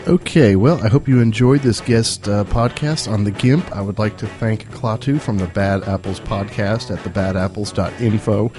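A man's voice: on the low side, moderate pace, few pauses. Man hosting a podcast, coming down with a cold. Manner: rambling, filler-heavy. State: getting a cold, losing his voice. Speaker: podcast host. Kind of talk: casual podcast sign-off. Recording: music playing underneath.

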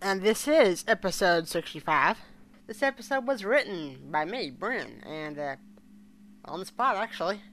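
A woman hosting a fan podcast, giving a news-style report with a somewhat halting delivery. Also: medium pitch, expressive, a bit bright, a little hiss or hum. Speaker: podcast host.

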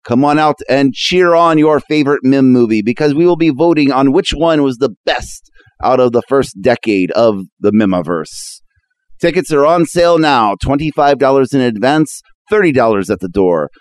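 A man's voice: mid-range voice, animated, normal speed, dry recording. A man in a showman-like announcer role, delivering scripted jokes and event promotion. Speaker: guest announcer and joke teller.

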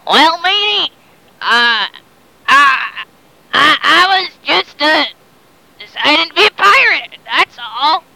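A woman's voice: high voice, big pitch swings, slow pace, vocal fry, sharp tone, no room sound, full character voice, poor audio. A woman voicing a shifty, bumbling pirate captain in a made-up story, blustering and stammering. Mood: chummy.

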